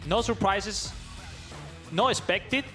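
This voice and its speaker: A man's voice: high voice, bright, forceful tone, soft background music. A man whose first language is Spanish, giving live esports analysis in eager, non-native English. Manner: eager, non-native phrasing, quick rising calls during play.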